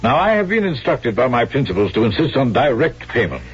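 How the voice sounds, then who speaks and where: A shrewd, blustery British merchants' agent in a vintage radio drama. His voice is very rough and swings widely with pompous emphasis. The old recording sounds muffled. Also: mid-range voice, very animated, fairly quick. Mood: shrewd, insistent. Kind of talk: 1970s radio drama acting.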